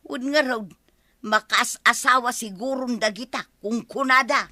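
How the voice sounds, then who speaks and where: A woman's voice: a little high, unhurried, sharp tone, no echo. A woman with an Ilocano accent playing a gossipy village neighbor in a radio comedy-drama. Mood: chatty.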